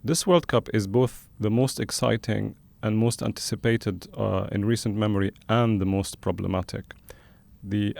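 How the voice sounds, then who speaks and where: A man hosting a conversational interview, speaking in a thoughtful, halting way as he frames questions. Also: lowish voice, creaky, darkish tone, dry recording. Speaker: podcast host and interviewer.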